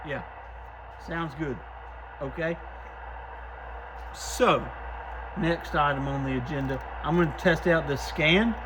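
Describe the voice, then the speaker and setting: Higher-voiced man, casual and folksy. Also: slow pace, long pauses, noisy background. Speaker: host, CB radio shop technician testing used radios. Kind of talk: casual hands-on product testing commentary.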